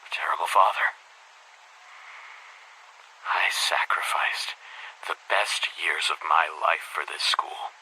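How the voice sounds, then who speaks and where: An actor playing a weary, embittered middle-aged school headmaster in a video game monologue, speaking in a formal, measured, brooding way with a husky voice. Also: male voice, fairly even intonation, sharp tone, whispered, full character voice, rough recording. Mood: bitter, regretful. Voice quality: husky, breathy low voice.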